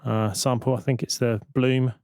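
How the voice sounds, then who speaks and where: Guy doing a casual software tutorial, explains stuff as he goes, mid pitch, really dry recording. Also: level tone, normal speed, no echo. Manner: explanatory, thinking aloud. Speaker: tutorial presenter. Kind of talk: informal software tutorial narration.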